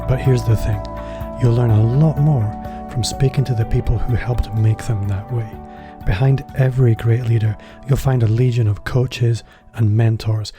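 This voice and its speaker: A man's voice: mid-range voice, steady tone, moderate pace, hardly any pauses, over music. A man hosting a business podcast, chatty and a little self-deprecating, phrasing his questions loosely. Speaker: podcast host. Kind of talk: podcast interview.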